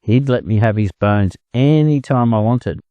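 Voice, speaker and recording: A man voicing a scruffy, bold half-grown chicken with a rough, brash way of talking, in a storybook reading. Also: mid-range voice, a little slow, no room sound, muffled recording, natural voice. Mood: incredulous, boastful.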